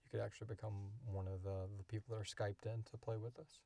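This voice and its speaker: A man's voice: fairly low pitch, level tone, quick pace, creaky, warm tone. A man in his thirties hosting a casual podcast Q&A, rambling along in an enthusiastic, jokey way. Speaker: podcast creator and host. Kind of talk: casual Q&A chat.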